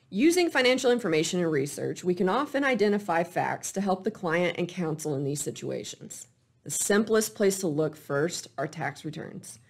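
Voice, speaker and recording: Female presenter, lower-pitched voice, measured and deliberate storytelling of a professional case study. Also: darkish tone.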